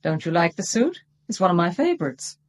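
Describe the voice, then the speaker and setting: An actress playing a bold, self-assured young adventuress in a radio drama, with a playful, provocative manner. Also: female voice, fairly low pitch, big pitch swings, fairly quick. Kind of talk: British radio drama acting.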